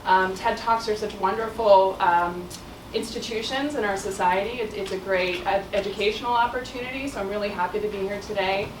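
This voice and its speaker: A woman's voice: mid-range voice, brisk, background noise. A middle-aged woman giving a conversational public talk with frequent fillers, heard without a mic from across a room. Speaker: speaker. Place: auditorium. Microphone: no mic, room pickup from afar. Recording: echoey hall from far off.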